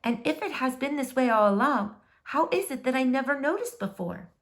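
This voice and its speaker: Woman reader as innocent boy squirrel, storybook, raised pitch, earnest wonder. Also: lively intonation, fairly quick. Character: awestruck, innocent male squirrel, newly thoughtful.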